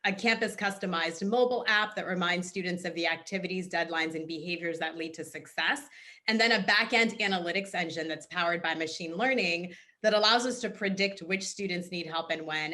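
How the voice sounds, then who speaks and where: A middle-aged woman from Haiti by way of Boston speaks in a bright, forward voice. She answers a panel question in an earnest and composed way, each point well ordered. She is heard over a video call. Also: on the low side. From Haiti, raised in Boston. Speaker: nonprofit founder and CEO, panel guest.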